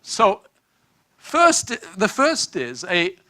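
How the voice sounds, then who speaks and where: An older South African man lectures at a panel, his voice bright and a touch high for a man. He talks in a measured, data-heavy way, breaking off and restarting phrases as he goes. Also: huge pitch swings. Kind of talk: academic panel talk.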